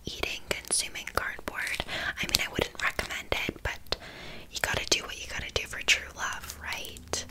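A scatterbrained witch, played by a woman, speaks entirely in a soft, breathy whisper for an ASMR roleplay. She rambles casually with deadpan asides and filler words. Her manner is blunt and a little judgmental, like an amateur who makes do as she goes. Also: natural voice, poor audio. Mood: blunt, teasing. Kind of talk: whispered ASMR roleplay, improvised one-sided chat.

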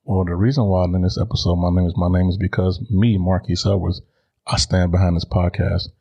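A man's voice: sits low, little pitch movement, fairly quick, vocal fry, muffled recording. A man in his thirties from Chicago with an American accent, hosting a podcast in a conversational solo monologue. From Chicago, United States. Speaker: podcast host.

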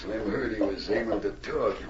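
An actor playing a rough, shifty fur smuggler with a folksy, ungrammatical way of talking, in 1940s radio drama style. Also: male voice, fairly low pitch, normal speed, natural voice. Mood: gossiping, low. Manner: folksy, ungrammatical drawl of an outlaw. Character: rough male fur-smuggling gang member, shifty and gossipy. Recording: noisy, muffled old radio recording, echoey.

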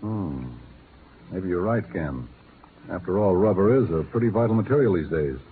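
An actor playing a sober, businesslike intelligence chief in a 1950s radio drama, matter-of-fact in manner. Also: male voice, low-pitched, expressive, moderate pace. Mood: sober, concerned. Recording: muffled, noisy 1950s radio recording.

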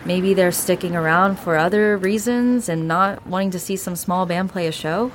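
An actress playing a driven young band member in an audio drama, casual and sarcastic. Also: female voice, lowish voice, normal speed, slight background noise, lightly acted. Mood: discouraged.

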